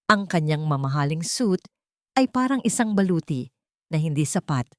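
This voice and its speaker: A woman's voice: on the low side, no echo, studio quality. A woman narrating a scripted audio drama story.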